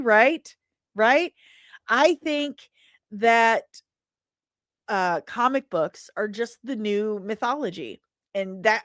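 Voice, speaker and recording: A woman hosting a casual livestream, talking in an animated, rambling and self-deprecating way. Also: medium pitch, no echo, clean studio sound. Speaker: livestream host.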